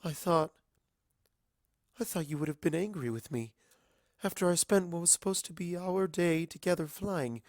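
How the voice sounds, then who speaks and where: Guy putting on a earnest pony princess lady, apologetic, pitched up, storybook style, dry close sound. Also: normal speed, long pauses, a little mellow, dry recording, lightly acted. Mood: anxious, earnest. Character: apologetic female pony princess, adult mentor.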